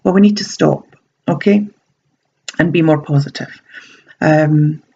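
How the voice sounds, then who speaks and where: Woman, slight sore throat, gravelly, mid-pitched, rambling casual advice, muffled lo-fi sound. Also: measured pace, dark tone, a little hiss or hum. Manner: chatty, meandering, frequent ums and restarts. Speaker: self-help vlogger. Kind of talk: casual talking-to-camera advice.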